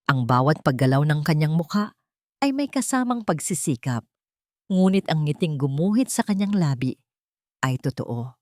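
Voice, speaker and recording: Lowish female narrator, dry close sound, reading a heartfelt drama in short measured phrases. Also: dark tone, dry recording. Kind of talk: scripted dramatic story narration.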